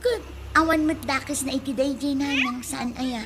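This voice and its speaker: A woman's voice: high voice, steady tone, normal speed, noisy background, natural voice. A woman with an Ilocano accent playing someone's grown child in a radio comedy drama. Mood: respectful. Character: grown child courting a sweetheart from the city.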